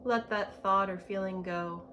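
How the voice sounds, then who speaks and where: A woman leads a guided meditation at a slow pace. Her delivery is gentle and spacious, with long pauses between phrases and a medium pitch. Some ambient noise sits behind her voice. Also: steady tone. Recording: clear background ambient noise.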